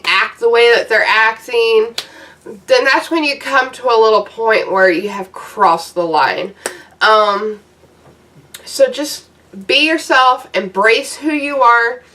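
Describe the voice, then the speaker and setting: A woman's voice: on the high side, bright, forceful tone, distant mic. A woman in her thirties giving casual, blunt, profane advice to her viewers in a loose, rambling vlog rant. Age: 30s. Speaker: YouTuber.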